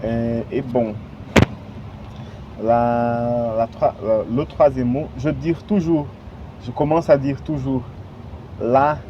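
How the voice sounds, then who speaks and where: A man speaks slowly and haltingly, pausing often to search for the right word. His voice sits in a middle pitch. The recording is dull and lo-fi, made outdoors with clear traffic noise from the street around him. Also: steady tone. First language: Portuguese. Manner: halting, searching for words, many fillers. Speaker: language learner. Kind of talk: casual vlog monologue.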